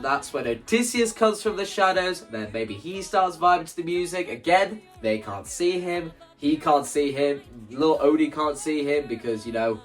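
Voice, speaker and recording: A man talking casually and enthusiastically through his own creative ideas in an explanatory vlog, emphatic and often repeating phrases for stress. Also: mid-range voice. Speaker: video creator presenting own stage play.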